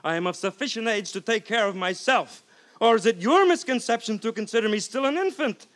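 An actor playing a cocky, scornful gambling rogue in a 1950s radio drama, bombastic and mocking in manner. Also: male voice, high voice, expressive, fairly quick, fairly bright tone. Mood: haughty mockery.

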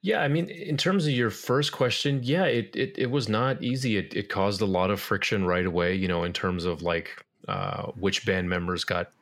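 Low-voiced man, rambling and relaxed. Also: moderate pace, few pauses. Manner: relaxed, rambling, many fillers and restarts. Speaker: podcast guest, musician. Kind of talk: casual interview talk.

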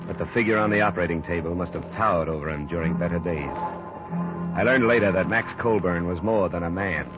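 An actor playing a composed, hard-boiled insurance investigator in a 1950s radio detective drama, speaking in a measured, terse, deadpan way. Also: male voice, low voice, lightly acted. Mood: grave narration. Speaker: actor playing the lead and narrator. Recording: muffled old radio broadcast, music behind.

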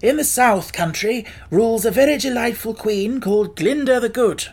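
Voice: mid-range voice, moderate pace, darkish tone, breathy edge, pitched above the performer's own voice, close to an everyday voice. A storybook narrator voicing a kindly, self-assured living scarecrow who encourages others. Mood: kindly, encouraging. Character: kindly, proud living scarecrow, cheerful leader.

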